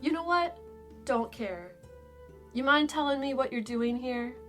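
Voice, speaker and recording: A woman acts as a young she-dragon warrior with a high voice. She is sarcastic, irritable and snide, drawing her words out slowly and scornfully. It is audio drama fantasy with faint music underneath. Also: a bit bright. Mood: curt, impatient. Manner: snide, impatient, scoffing. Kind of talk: fan fiction audio drama acting.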